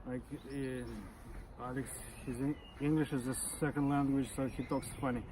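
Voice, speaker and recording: A man's voice: mid-range voice, fairly even intonation, moderate pace, darkish tone. A man in his forties with a Russian accent, speaking casually as an interview guest and stuttering with blocks and repeated sounds. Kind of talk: casual conversation. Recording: noisy outdoor recording with birdsong.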